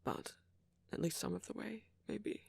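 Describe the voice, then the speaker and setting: A man playing a friendly, flirty vampire in an intimate ASMR roleplay, courteous and gently teasing, with a husky, murmuring voice close to the microphone. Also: sits high, a little slow, no echo, heavily acted. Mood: friendly, tentative. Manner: gently teasing, polite old-fashioned phrasing, soft chuckles. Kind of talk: ASMR roleplay, intimate one-sided dialogue to the listener.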